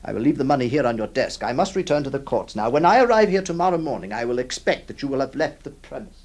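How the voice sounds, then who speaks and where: A man's voice: medium pitch, animated, a little mellow. A man playing an exasperated, pleading lawyer. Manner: pleading, exasperated, halting stammers. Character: exasperated middle-aged male lawyer employer. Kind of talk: audio drama acting.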